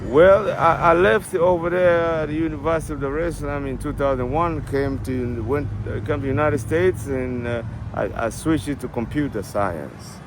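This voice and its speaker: A middle-aged man with a Tanzanian accent, his voice sitting somewhat high for a man. He talks in a halting way, restarting and filling pauses as he answers questions. He is recorded outdoors with clear street and traffic noise behind him. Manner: halting, with many restarts and fillers. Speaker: interviewee. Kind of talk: street interview.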